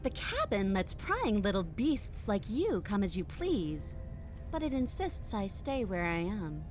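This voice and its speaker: A woman's voice: medium pitch, big pitch swings, unhurried, darkish tone, soft background music. A woman playing a proud, bitter, menacing captive princess in a video game, sarcastic and taunting. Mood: cold bargaining. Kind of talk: video game character acting.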